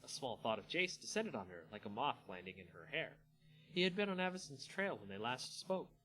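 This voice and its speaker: A man hosting and narrating a dramatic fantasy story reading with an expressive, theatrical delivery. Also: mid-range voice, brisk, faint music behind, poor audio. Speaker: channel host and story narrator.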